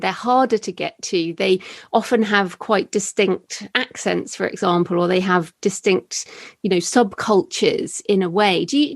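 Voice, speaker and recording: A woman's voice: medium pitch, no echo, clean studio sound. A woman hosting a conversational interview as a podcast host and author.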